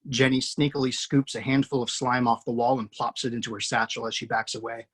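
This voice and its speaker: A man hosting and narrating a screenplay table read, relaxed and chatty, with many fillers. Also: medium pitch, brisk. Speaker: writer and host.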